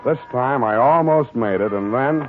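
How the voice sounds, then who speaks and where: An actor playing a wry, tough, wisecracking detective in a 1940s-style radio drama, with deadpan delivery. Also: male voice, mid-range voice, moderate pace, natural voice. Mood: wry narrating. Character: wry, tough male private detective, coolly sardonic. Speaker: detective narrator and lead. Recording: muffled vintage radio recording, music behind.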